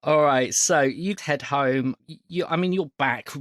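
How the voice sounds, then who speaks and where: A man's voice: on the high side, unhurried, no room sound. A man running a tabletop game as game master, narrating and explaining rules casually.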